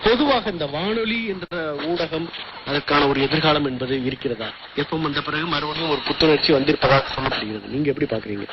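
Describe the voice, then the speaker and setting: A man's voice: a little high, lively intonation, brisk. Man, Indian Tamil accent, radio interviewer, dull lo-fi sound, very heavy static. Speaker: news correspondent, interviewer. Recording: noisy muffled shortwave radio broadcast.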